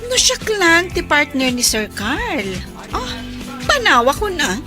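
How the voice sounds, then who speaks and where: A woman's voice: very high voice, sing-song, slow, over music, full character voice. A woman playing a nosy, gossiping young woman at an event in a radio drama. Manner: gossipy and curious.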